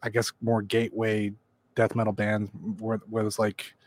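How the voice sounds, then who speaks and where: A man's voice: fairly low pitch, monotone, moderate pace. Laid-back man in his forties. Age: 40s. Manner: laid-back, swears freely, says "like" often. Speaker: podcast guest musician. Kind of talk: casual chat.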